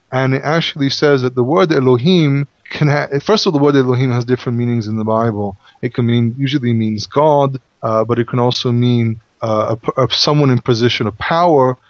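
A man's voice: medium pitch, fairly quick, dry recording. A man whose first language is Hebrew, explaining a Bible passage in a casual study talk and sometimes searching for English words. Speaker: Bible teacher. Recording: muffled, lo-fi audio.

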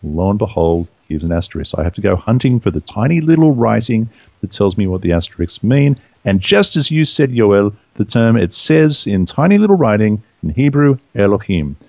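A man's voice: fairly low pitch, very animated, moderate pace, gravelly, a little mellow, no echo. A man hosting a casual Bible study talk, eagerly reading verses aloud and interjecting with questions. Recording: muffled, lo-fi audio.

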